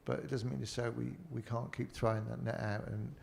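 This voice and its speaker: A man's voice: mid-range voice, steady tone, brisk, gravelly, a little mellow, a little hiss or hum. A man with a Liverpool accent speaking on a panel in a rambling way, full of "you know". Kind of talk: casual panel talk.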